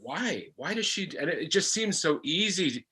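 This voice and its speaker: Middle-aged male, husky with a bright edge, reflective and halting personal talk, searching for words. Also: fairly high pitch, sing-song. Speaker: actor on a discussion panel, speaking as a parent.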